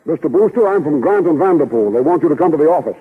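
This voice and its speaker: An actor playing a polite, eager office clerk in 1930s radio comedy, with a formal manner. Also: male voice, fairly high pitch, animated, quick pace, husky, big-room sound. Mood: polite, insistent. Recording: muffled old 1930s radio recording.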